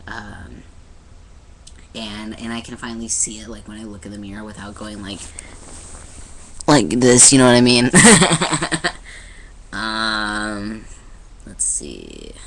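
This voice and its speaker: A man's voice: fairly low pitch, sing-song, slow, gravelly, pauses often, fairly bright tone, slight background noise. A young man talking casually to his camera in a personal video diary, rambling with many restarts and fillers. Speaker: vlogger. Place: indoors in front of a light.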